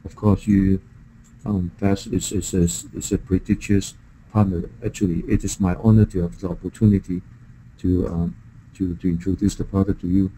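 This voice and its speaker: A middle-aged man from Hong Kong with a Hong Kong accent, a native Chinese speaker, making a business pitch in halting English with repeated words. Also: flat delivery, dark tone, slight background noise, rough recording. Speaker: software vendor presenting to a prospective partner. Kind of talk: business call, product pitch.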